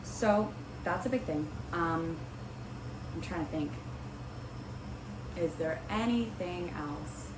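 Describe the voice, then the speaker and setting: A young woman hosting a Bible study vlog, a little raspy from a cold, chatty and rambling with many ums and likes. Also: medium pitch. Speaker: host of a Bible study video series. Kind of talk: casual talking-to-camera vlog. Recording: roomy with clear background noise.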